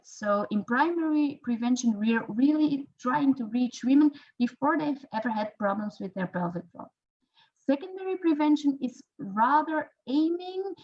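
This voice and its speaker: A woman's voice: a little high, darkish tone, lo-fi sound. A woman with a Dutch accent, a native Dutch speaker, giving a lecture in English with many fillers and self-corrections. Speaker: physiotherapy researcher and lecturer. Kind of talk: online lecture presentation.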